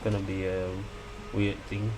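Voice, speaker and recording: A man's voice: on the low side, a little slow. A man giving casual gameplay commentary, musing and reacting aloud as a gaming streamer. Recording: steady hum of room noise.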